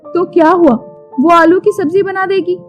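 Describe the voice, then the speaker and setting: A high-pitched village mother-in-law played by a woman narrator from a cartoon moral story. She speaks firmly and plainly as head of a poor household, over clear background music. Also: steady tone, normal speed, highs cut off. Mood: matter-of-fact. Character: stern, suspicious village mother-in-law who later softens. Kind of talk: animated moral-story acting. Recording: music behind the voice.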